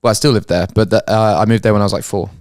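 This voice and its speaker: A man's voice: lowish voice, steady tone, rapid-fire, a little mellow, no echo, studio quality. A man from England with an English accent, chatting casually as a guest and rambling with frequent restarts. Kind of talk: casual chat.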